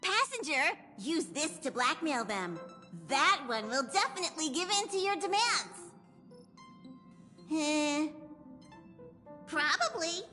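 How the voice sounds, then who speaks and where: An actress voicing a small, perky, cheeky conductor creature in a video game, with a bouncy, assured delivery. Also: female voice, high-pitched, lively intonation, slow, pauses often, sharp tone, full character voice. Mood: cheeky, confident. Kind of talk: scripted video game character dialogue. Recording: long reverb with music underneath.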